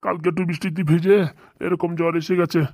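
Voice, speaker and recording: Cartoon casting: male actor for middle-aged ailing teacher, self-pitying moans, flat intonation, dry studio sound. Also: high-pitched, fast, dry recording. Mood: feverish moaning. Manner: moaning, plaintive. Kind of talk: comic cartoon voice acting.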